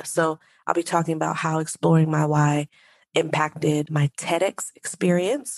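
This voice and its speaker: A woman's voice: on the low side, vocal fry, darkish tone, no room sound. A woman hosting her own podcast who talks with a stuffy nose, chatting directly to her listeners in a solo, conversational monologue. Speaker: podcast host.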